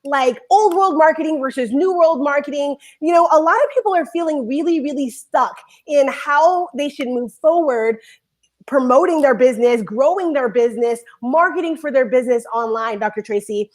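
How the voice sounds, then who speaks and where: Woman, talk show host, high voice, bubbly, chatty, live broadcast. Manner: bubbly, rambling, quick bursts of speech.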